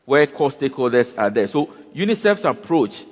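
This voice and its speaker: A man speaking on a conference panel, often pausing with fillers and repeating words. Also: mid-range voice, big pitch swings. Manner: halting, repeats words and fills with "you know" and "um". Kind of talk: panel discussion. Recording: long hall reverb.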